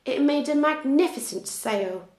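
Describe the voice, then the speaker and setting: A woman reading a children's storybook aloud like a teacher, with expressive, deliberate narration. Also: high-pitched, reverberant.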